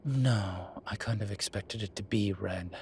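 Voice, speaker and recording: Slow, low-voiced suave demon professor played by a man. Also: a little mellow, a little hiss or hum. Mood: grave. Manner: formal, measured, teasing, wry. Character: suave male incubus demon professor, wry, vain, unhurried. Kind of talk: scripted audio roleplay.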